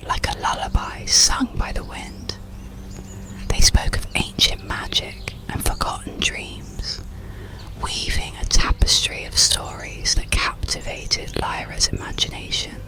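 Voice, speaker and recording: A storyteller narrating an ASMR bedtime story in a soothing, deliberate whisper. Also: very deep voice, vocal fry. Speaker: audiobook storyteller.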